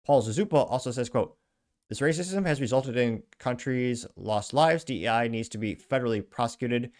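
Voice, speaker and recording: A man's voice: medium pitch, moderate pace, a little mellow. A man hosting his own show, reading social media posts aloud with chatty asides in casual news commentary. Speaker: show host.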